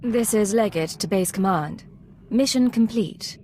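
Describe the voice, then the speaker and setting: A woman voices a female undercover agent in a video game. Her mid-pitched voice is cool and controlled, with formal, clipped phrasing. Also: a little slow, a little mellow, slight background noise, lightly acted. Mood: composed, formal.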